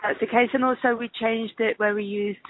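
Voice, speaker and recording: A woman's voice: on the high side, flat delivery, a little fast, dry recording. A woman answering interview questions over the phone in a hesitant, conversational way, thinking aloud as she goes. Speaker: interview guest, casting director. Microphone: telephone call. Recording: tinny, band-limited phone line.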